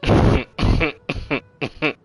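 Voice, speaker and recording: A man's voice: drawn-out words, soft background music. A man streaming a game live, chatting casually with slangy, profane, playful reactions. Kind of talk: casual livestream chat over gameplay.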